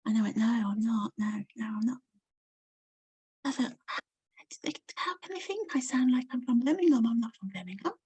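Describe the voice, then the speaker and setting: A woman speaks with a Coventry English accent in a relaxed interview. Her voice sits on the higher side, and she talks in a chatty way, full of ums and light laughs. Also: normal speed, long pauses, slightly rough. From Coventry, England. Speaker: novelist guest. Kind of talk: casual interview chat.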